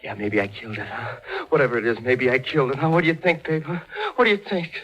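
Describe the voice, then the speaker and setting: An American actor playing a frightened, swaggering small-time crook in a 1940s radio thriller, talking in jittery tough slang. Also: male voice, medium pitch, fast, fairly bright tone, muffled recording, close to an everyday voice. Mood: shaken. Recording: vintage 1940s radio recording, music underneath.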